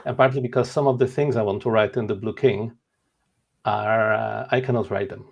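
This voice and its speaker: A man's voice: lowish voice, fairly even intonation, a little fast, creaky, dull audio. A man in his forties from Poland, a native Polish speaker, talking earnestly and candidly as an author guest in an interview. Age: 40s. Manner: earnest, candid, self-deprecating. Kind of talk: casual interview chat.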